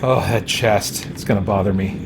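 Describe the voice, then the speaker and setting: A man's voice: lowish voice, moderate pace, gravelly, heavy background noise. A man giving casual let's play commentary, thinking aloud and muttering to himself as he plays. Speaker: gaming channel host.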